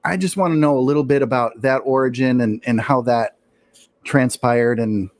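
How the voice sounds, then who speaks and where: A man's voice: mid-range voice, normal speed, no echo. A man hosting a live show, asking questions in a casual, conversational interview style. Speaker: show host.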